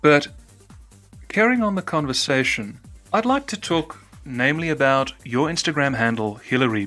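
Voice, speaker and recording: A man's voice: on the high side, fairly bright tone. A South African-born man with a British-trained accent, hosting a podcast chat with formal, careful diction and long winding sentences. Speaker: podcast host.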